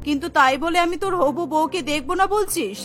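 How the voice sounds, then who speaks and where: A woman's voice: high voice, fast, a bit bright. A woman voicing a proud, wealthy mother of grown sons in a cartoon, haughty and boastful. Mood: commanding. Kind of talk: cartoon story voice acting.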